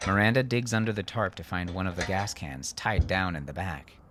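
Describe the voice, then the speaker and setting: A man with a low voice narrates a dramatic story. His delivery is measured and deliberate, in the manner of a scripted audiobook. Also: a bit bright, a little hiss or hum.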